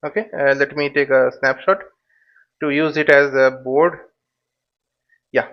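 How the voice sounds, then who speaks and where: Guy with a pretty high voice explaining stuff step by step, kinda halting, repeats himself, muffled lo-fi mic. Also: fairly even intonation. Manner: hesitant, repetitive, checks listener follows. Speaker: flight simulator tutorial instructor.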